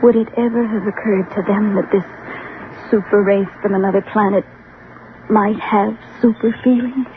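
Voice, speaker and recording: Bitter, guarded woman, played by an actress, vintage radio drama; intense delivery, mid pitch, muffled and faintly noisy recording. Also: fairly even intonation, moderate pace, lightly acted. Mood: bitter, impassioned. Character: bitter, guarded, lonely woman hiding a secret. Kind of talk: old radio drama acting.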